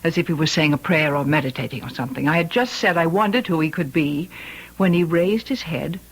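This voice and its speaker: A woman acting as a composed but worried confidante in an old-time radio mystery, speaking earnestly and deliberately. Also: low voice, breathy edge, poor audio. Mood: earnest confiding. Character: composed, worried woman confiding about her unstable housemate. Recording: old radio drama recording.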